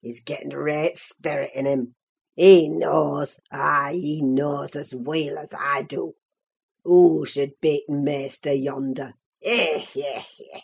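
A woman voicing a spiteful old male servant with a croaking Yorkshire accent and a gloating cackle. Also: low-pitched, fairly even intonation, pitched below the performer's own voice, full character voice. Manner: slow, mocking dialect speech. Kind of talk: audiobook character reading.

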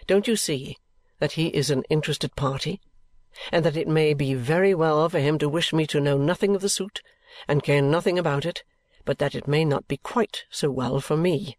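A man playing an affectionate but bitter, evasive young gentleman, earnest and weary, in acted audiobook dialogue from a classic novel. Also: on the high side, moderate pace, no room sound, studio quality, lightly acted. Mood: impatient.

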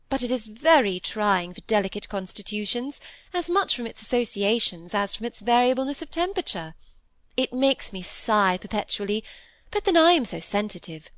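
Woman doing a gushing middle-aged stepmother: affected, fussy, swoops high, dry close read. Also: no room sound, close to an everyday voice. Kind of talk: audiobook character reading.

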